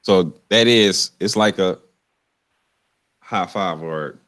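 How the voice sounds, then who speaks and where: A man with a lowish voice hosts a casual live-streamed podcast over a video call. He rambles, restarts and repeats his words in a loose, easygoing way. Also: takes it slowly, fairly bright tone. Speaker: podcast host.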